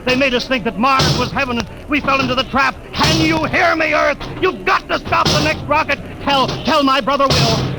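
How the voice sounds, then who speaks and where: A man's voice: extremely high pitch, poor audio. An actor playing a male rocket captain in a 1950s radio drama, clipped and stammering when scared. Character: male rocket captain, steady then desperate. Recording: hissy old radio transcription.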